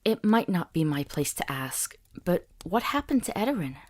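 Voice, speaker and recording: Gentle, lowish-voiced woman companion , acted. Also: sing-song, moderate pace. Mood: sympathetic. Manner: gentle, earnest. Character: kind, tactful female traveling companion, earnest and reassuring. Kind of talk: visual novel voice acting.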